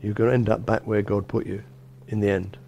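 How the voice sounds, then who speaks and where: A middle-aged man, a bishop, gives a relaxed sermon in a small church, talking conversationally with frequent restarts and "you know". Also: on the low side, brisk, creaky, a little hiss or hum. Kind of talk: informal sermon.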